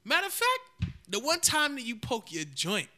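A man's voice: very high-pitched, wildly swooping pitch, normal speed, pushed and bright. A man co-hosting a podcast, teasing and trash-talking in casual chat. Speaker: podcast co-host.

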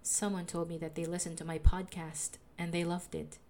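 A young woman with a soothing voice, calmly narrating a personal audio diary as a podcaster. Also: fairly low pitch, normal speed. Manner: calm, reflective, confessional.

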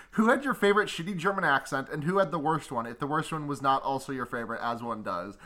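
A podcast co-host reading out listener questions in a relaxed, hesitant way with many fillers and some swearing. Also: mid-range voice, quick pace. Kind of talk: casual chat about movies.

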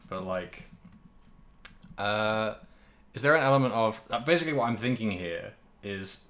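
Low-voiced man chatting as podcast co-host. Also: fairly bright tone. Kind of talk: casual chat about a video game.

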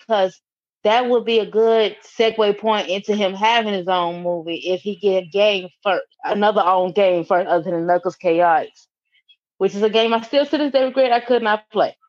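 A woman's voice: mid-range voice, dry recording. Woman on a muffled lo-fi mic, dry sound, upbeat and playful podcast chat. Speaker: podcast co-host. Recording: dull lo-fi mic.

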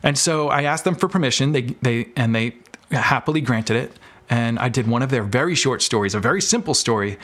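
A man's voice: mid-range voice, fairly bright tone. An American man talking casually and informally as a podcast guest, telling stories about his creative work. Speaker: podcast guest, audio drama producer. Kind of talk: casual interview chat.

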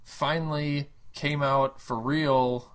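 A man's voice: fairly high pitch, steady tone, takes it slowly, fairly bright tone. A man co-hosting a casual video game show, telling stories and teasing his co-host playfully. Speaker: co-host reviewing video games. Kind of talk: casual banter.

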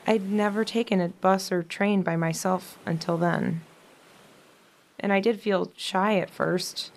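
Actress as frail young woman, gentle, ailing, reflective, quietly resolute, modern audio drama. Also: medium pitch, steady tone, unhurried, close to an everyday voice. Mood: wistful, earnest.

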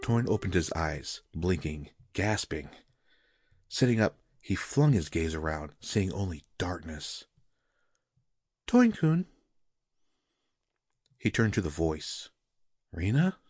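A man's voice: deep voice, a little slow, a little mellow, breathy edge, no echo, clean studio sound. A man reading a scripted fantasy story as a dramatic narrator.

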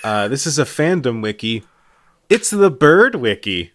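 Wry male podcast co-host, mid-pitched. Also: sing-song, measured pace, a bit bright. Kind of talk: casual comedic chat.

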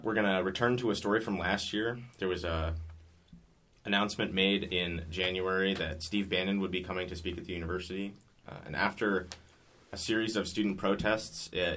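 A young man hosting a student news show from a recording booth, reading stories in a steady scripted way. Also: sits low.

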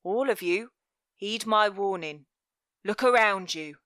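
A woman voicing a cruel, menacing male master of thieves in an audiobook, commanding and deliberate, with mock-tender threat. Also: mid-range voice, fairly bright tone, no echo, studio quality. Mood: stern, menacing. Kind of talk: dramatic audiobook character voice.

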